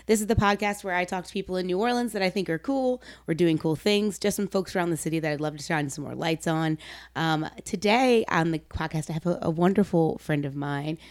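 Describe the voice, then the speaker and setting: A woman's voice: fairly low pitch, a little fast, no room sound, clean studio sound. A woman hosting a podcast in a casual, chatty style, with a gushing, emphatic way of talking. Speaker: podcast host. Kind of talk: casual chat.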